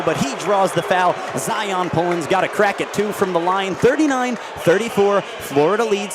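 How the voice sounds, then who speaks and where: A young man in his twenties calling basketball play-by-play on the radio, with rapid, vivid play calling. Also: high voice, heavy background noise. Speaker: play-by-play announcer. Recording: radio call with loud arena crowd. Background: arena crowd and bouncing ball.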